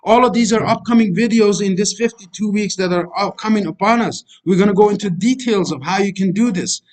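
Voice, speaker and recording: A middle-aged man whose native language is Kurdish, giving a motivational voice-over lecture over slides. His voice sits noticeably high for a man. He preaches in a repetitive way and keeps calling the listeners friends. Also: fairly quick. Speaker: life coach.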